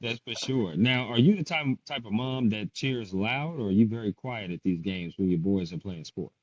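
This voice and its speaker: A man's voice: lowish voice, lively intonation, moderate pace. A man hosting a casual podcast interview, firing off playful questions with some slang. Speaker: podcast host. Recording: dull lo-fi sound.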